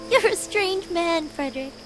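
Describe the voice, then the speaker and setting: A woman voicing a gentle, wistful young girl with magic powers in a video game, soft and wondering. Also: very high-pitched, full character voice. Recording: background music under voice.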